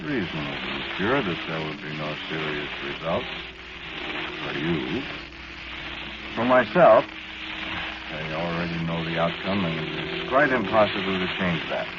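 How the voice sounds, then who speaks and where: Crackly, muffled old radio recording, doomed scientist, pedantic, wry, deliberate, horror monologue. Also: male voice, sits low. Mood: grave, urgent. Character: doomed, genteel scientist, inept gambler and drinker, calmly resigned.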